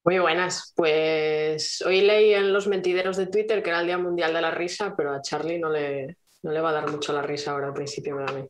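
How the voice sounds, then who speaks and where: A woman's voice: sits low, fairly even intonation, fairly quick, few pauses. A woman from northern Spain chatting casually as a player on a roleplay stream. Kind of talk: casual chat.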